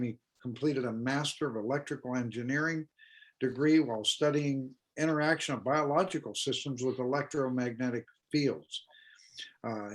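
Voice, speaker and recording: A man in his sixties hosts a webinar over a video call and reads a guest's credentials. He speaks in a formal, steady way, with fillers between phrases. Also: medium pitch, poor audio. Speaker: webinar host, moderator. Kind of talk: formal introduction. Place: indoor office with flags. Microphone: video call webcam.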